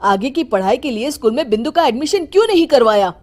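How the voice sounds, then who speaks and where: A woman's voice: high voice, sing-song, quick pace, noisy background. A woman voicing a protective father in a family story, speaking firmly and earnestly. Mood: indignant. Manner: firm, reproachful. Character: stern, protective father standing up for his daughter.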